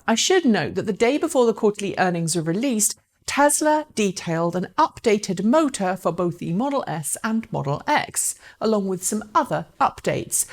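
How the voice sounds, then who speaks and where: A woman presenting electric car news as scripted narration, speaking articulately and directly to viewers. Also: medium pitch.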